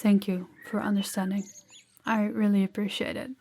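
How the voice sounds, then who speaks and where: A woman's voice: medium pitch, flat delivery, moderate pace, a little mellow, slight background noise, natural voice. Woman playing a candid young princess, birdsong behind. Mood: wistful. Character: young princess in an arranged marriage, candid and gentle. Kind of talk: roleplay audio drama spoken to the listener.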